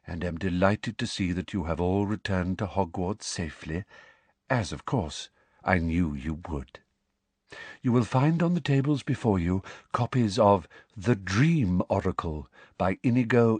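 A man's voice: low-pitched, no room sound, heavily acted. A man voicing a misty, dreamy, lofty woman teacher of divination in an audiobook, trailing off delicately. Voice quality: misty, breathy delivery.

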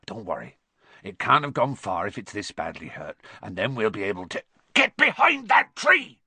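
A man voicing a big, gruff, protective gamekeeper in a storybook, with blunt rustic grammar and a wary manner. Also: lowish voice, expressive, fairly quick, pushed and bright, dry recording, full character voice. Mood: reassuring, worried. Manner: dialect grammar, urgent warnings, blunt.

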